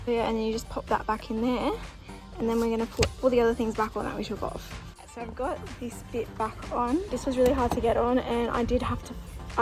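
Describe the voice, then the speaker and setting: Female DIY vlogger, slightly high pitch, informal learn-as-you-go explaining, clear music bed. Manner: informal, learning as she goes, slangy. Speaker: vlogger showing a DIY car install. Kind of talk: casual how-to narration.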